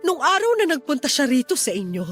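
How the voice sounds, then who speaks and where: A woman's voice: sits high, big pitch swings, quick pace. A Filipino woman playing a desperate wife and mother pleading earnestly with her sister-in-law in a radio drama. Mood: tearful begging. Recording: music under the dialogue.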